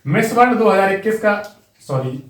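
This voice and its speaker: A man's voice: sits high, lively intonation, a little fast, echoey room. A man with an Indian accent teaching an exam-prep class, repeating key facts and speaking straight to his students. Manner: repetitive, drilling facts, addresses students directly.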